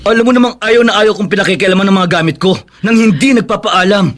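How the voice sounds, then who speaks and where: A man's voice: sits high, fast, background noise, natural voice. A man with a Filipino accent playing a bitter, sarcastic grown son in a radio drama. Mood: irritated. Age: young adult. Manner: cutting, sarcastic. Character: bitter, scornful grown son resenting his mother.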